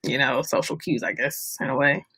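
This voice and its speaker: A woman hosting a casual book discussion, speaking thoughtfully and haltingly as she searches for her words. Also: rumbling low, fairly even intonation, pushed and bright, breathy edge, no echo, low-quality recording. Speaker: book club discussion host.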